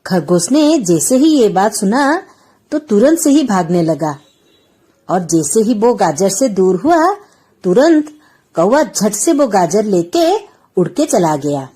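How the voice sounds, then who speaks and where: A woman's voice: medium pitch, very animated, slow, mellow, husky. A woman narrating a scripted children's story as its storyteller.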